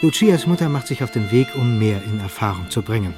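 A man narrating a radio play in a measured, literary and dramatic manner. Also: fairly low pitch, animated, music in the background.

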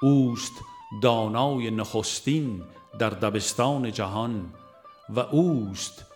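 A man narrating a religious audiobook in a formal, measured reading style. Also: medium pitch, darkish tone, soft background music. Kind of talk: scripted narration of a religious book.

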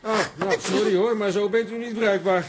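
A man plays a tactless film crew man in a radio play. He is blunt and dismissive, in a notably high male voice. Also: fairly even intonation, normal speed, natural voice. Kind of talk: radio drama acting.

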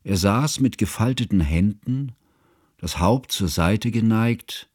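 An earnest, devoted scholar narrating a radio drama. The voice is lowish and warm, the phrasing formal and measured. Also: male voice, animated, no room sound, studio quality. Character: earnest, fussy, devoted scholarly friend recounting events.

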